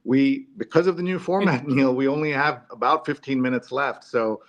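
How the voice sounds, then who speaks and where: A man's voice: medium pitch, normal speed. Man hosting, dull lo-fi audio. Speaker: host of a live newspaper discussion show. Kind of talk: casual live talk-show chat.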